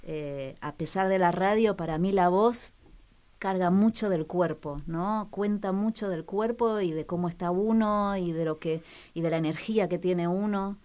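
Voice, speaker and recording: A woman co-hosting a reflective radio chat, pausing as she searches for words. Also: fairly low pitch, darkish tone. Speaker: radio show co-host.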